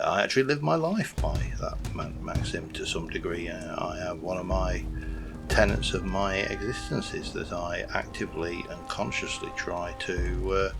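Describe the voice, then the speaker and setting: A man with a light South Yorkshire accent rambles through casual gameplay commentary, self-deprecating and full of fillers. His voice is very low with a gravelly rasp. Background music plays clearly under him. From South Yorkshire, England. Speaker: gaming YouTuber.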